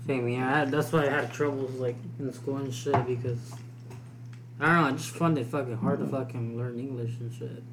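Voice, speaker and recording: A man's voice: medium pitch, fairly even intonation, fairly quick, background noise. A man whose first language was Spanish, giving blunt, short, profane answers in a casual podcast chat. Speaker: podcast co-host.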